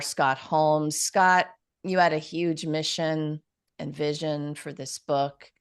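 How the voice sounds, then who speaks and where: Upbeat low-voiced woman host. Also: clean tone. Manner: upbeat, grateful, with fillers. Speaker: interview host, publishing CEO. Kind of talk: enthusiastic interview hosting.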